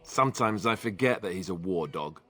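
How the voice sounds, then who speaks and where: An actor playing a wry young male warrior companion in a fantasy game, with deadpan sarcasm. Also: sits low, moderate pace, soft background music. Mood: wry, mock aggrieved. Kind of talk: fantasy video game acting, dry banter.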